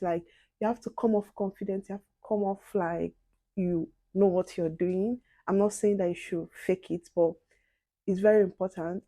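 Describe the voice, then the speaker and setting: Young woman chatting while doing makeup, soft voice, mellow and dry sounding. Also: mid-range voice, dry recording. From outside the UK. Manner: soft-spoken, reflective, chatty asides to viewers. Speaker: beauty and advice vlogger.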